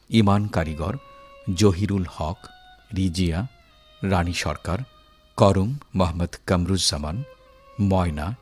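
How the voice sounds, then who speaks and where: A man's voice: low voice, a little mellow. A man working as a radio announcer, formally reading out scripted credits. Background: music.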